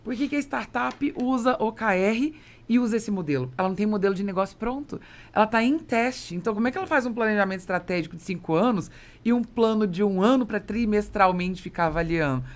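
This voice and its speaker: Fast-talking Brazilian woman hosting a podcast. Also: mid-range voice. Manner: emphatic, explanatory, interjects agreement. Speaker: podcast host and interviewer. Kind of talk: conversational business podcast talk.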